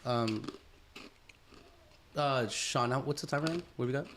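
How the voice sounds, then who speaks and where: A man in his thirties with a mid-range voice hosts a relaxed podcast conversation. He asks probing questions in an easygoing way, swearing casually and stumbling through fillers and restarts. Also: mid-range voice, normal speed, a little hiss or hum. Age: 30s. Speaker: podcast host. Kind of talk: casual chat.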